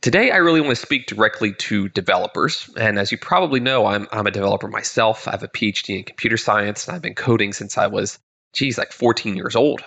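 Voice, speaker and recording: Male podcast host, 30s, low-ish crackly voice with a stuffy nose, casual solo monologue. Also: fast talker, gravelly, sharp tone, highs cut off. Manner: upbeat, conversational, with frequent word repeats. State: congested, slightly nasal from illness.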